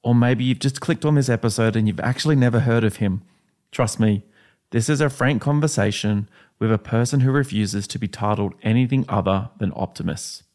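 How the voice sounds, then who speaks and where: An Australian man, the podcast host, reading a steady, upbeat episode introduction. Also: mid-range voice, fairly quick.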